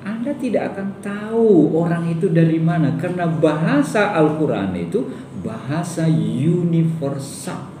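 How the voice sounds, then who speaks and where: A man's voice: a little high, animated, measured pace, mellow. A man with a Sumatran Indonesian accent giving a conversational classroom lecture, putting rhetorical questions to his students. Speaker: university lecturer. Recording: roomy echo, distant mic, faint background noise.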